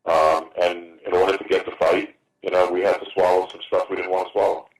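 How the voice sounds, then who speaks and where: Deep-voiced man in his fifties, halting. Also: flat delivery. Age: 50s. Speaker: boxing promoter taking reporters' questions. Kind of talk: conference call interview answers. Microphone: phone on a conference call. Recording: roomy, distant, noisy teleconference phone line.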